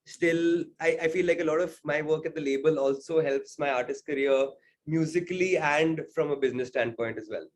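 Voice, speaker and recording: A man's voice: fairly high pitch, a little fast. A young man from India, a singer-songwriter, answering interview questions thoughtfully, with frequent fillers and "right?" tags.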